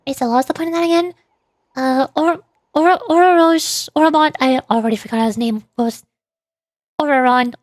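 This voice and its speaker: A woman's voice: sits high, brisk, no room sound. A woman streaming a game, chatting casually in a rambling way and repeating words as she goes. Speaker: VTuber streamer. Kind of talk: casual game stream chat and live reading of dialogue.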